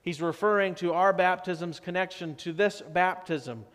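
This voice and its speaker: A man in his thirties preaching a sermon as pastor, slow and measured, often dropping to a softer tone for emphasis. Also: high-pitched. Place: church sanctuary. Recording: long church reverb.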